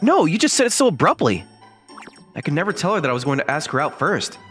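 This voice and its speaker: Casting: a man plays a shy young manga-dub lead, lively swings in pitch, earnest and easily flustered, music underneath. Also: fast. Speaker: voice actor and narrator in a manga dub. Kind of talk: acted dialogue and first-person narration.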